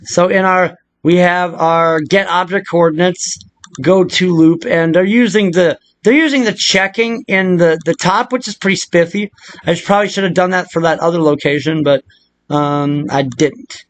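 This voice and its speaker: A man's voice: high voice, moderate pace. A man presenting a casual, improvised game modding tutorial, thinking aloud in a rambling, irreverent way.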